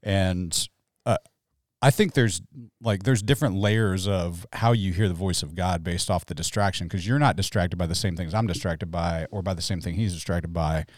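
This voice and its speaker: A man's voice: low-pitched, normal speed, dry recording, clean studio sound. A man in his forties, a pastor hosting a podcast, chatty and joking in casual conversation. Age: 40s. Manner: chatty, joking, full of fillers.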